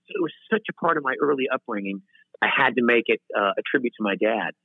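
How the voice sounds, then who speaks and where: A man's voice: medium pitch, lively intonation, quick pace, creaky, slightly rough, no echo. A man in his fifties tells long, winding stories as an interview guest, often breaking off and starting his sentences again. His voice comes through a thin, phone-like line with a very dry sound and no room around it. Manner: rambling, self-interrupting, laughs at himself. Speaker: singer-songwriter guest. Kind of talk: storytelling interview chat. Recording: tinny phone-line audio.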